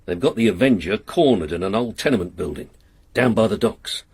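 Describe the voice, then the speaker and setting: An actor playing a stern, pious police inspector who speaks firmly in a radio drama. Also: male voice, fairly low pitch, expressive, a little fast, gravelly, darkish tone, breathy edge, heavily acted.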